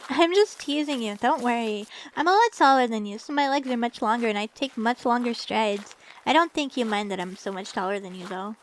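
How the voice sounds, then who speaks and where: Woman acting a high-voiced, teasing, doting anime champion. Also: lively intonation, brisk, few pauses, a little hiss or hum, close to an everyday voice, poor audio. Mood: teasing, flirtatious.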